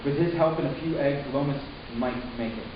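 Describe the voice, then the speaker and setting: A man playing a troubled, horrified young man, narrating his ordeal solemnly and deliberately in a dramatic reading. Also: fairly high pitch, muffled recording, lightly acted. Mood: somber. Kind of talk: scripted first-person narration, dramatic reading. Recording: echoey room from far off, hissy.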